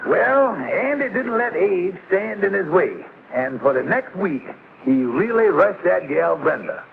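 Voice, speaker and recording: A friendly sitcom storyteller, speaks in a high, very gravelly voice. He narrates in the manner of 1950s American television, on a thin, noisy vintage soundtrack. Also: big pitch swings, a little slow, narrow, tinny audio, full character voice. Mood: genial storytelling.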